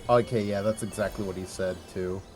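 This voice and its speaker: A man's voice: deep voice, steady tone, brisk, soft background music. A man chatting casually as a friend in a game playthrough. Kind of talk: casual chat.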